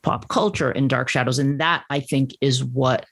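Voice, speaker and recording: Creaky-voiced man hosting a podcast. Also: mid-range voice, steady tone, moderate pace, fairly bright tone. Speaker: podcast host. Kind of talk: casual fan conversation.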